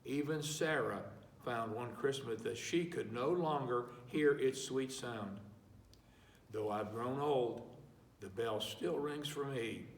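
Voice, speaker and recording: A man volunteering as a reader, slowly and expressively reading a picture book aloud, clearing his throat between pages. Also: medium pitch. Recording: echoey room, mic far off.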